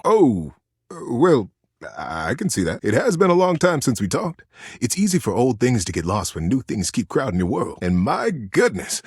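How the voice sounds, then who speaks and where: A man voicing a kindly, folksy old childhood teddy bear in a video game, talking like a gentle, earnest storyteller. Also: medium pitch, husky, dry recording, studio quality, heavily acted. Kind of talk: video game character dialogue.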